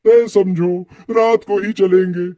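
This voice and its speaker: A man's voice: high-pitched, steady tone, slow pace, dark tone, dry recording, recorded from a distance, lo-fi sound. A man voicing a greedy, callous woodcutter in a cartoon, brusque in his manner. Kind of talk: cartoon voice acting.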